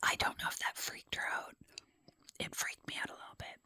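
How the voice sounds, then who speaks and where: A woman's voice: fairly quick, sharp tone, no room sound, clean studio sound. A woman whispering a rambling personal story in ASMR style, self-deprecating and full of fillers. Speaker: ASMR creator.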